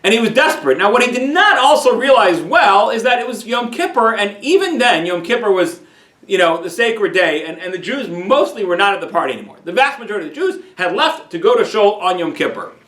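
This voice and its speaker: A man's voice: high voice, very animated, fairly quick, fairly bright tone. A man teaching a Torah class as a rabbi, telling a story with frequent restarts and repeated words. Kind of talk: weekly Torah class lecture. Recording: echoey room.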